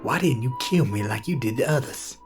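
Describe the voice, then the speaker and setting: A man's voice: medium pitch, lively intonation, fairly quick, close to an everyday voice. Man playing a wary outlaw over music. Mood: wary, puzzled. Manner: suspicious, questioning. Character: wary male desert outlaw questioning a sorceress. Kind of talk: audio horror drama acting.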